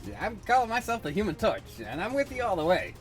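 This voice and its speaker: A man voicing an eager, excitable young male hero in a dramatic comic-book reading. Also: sits high, fast, faint music behind, voice pushed up. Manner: eager, exclaiming.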